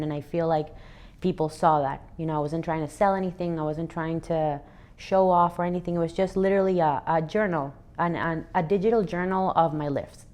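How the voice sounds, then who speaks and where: Woman, thirties, from Venezuela, interview guest; low voice, reflective, earnest. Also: a little mellow. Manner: earnest, reflective, frequent restarts. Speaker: interview guest, athlete and fitness educator. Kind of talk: relaxed interview answers.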